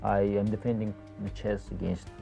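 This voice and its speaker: A man's voice: lowish voice, flat delivery, moderate pace, vocal fry, dark tone. A man speaking defiantly in an old interview clip. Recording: dull archive audio with faint music.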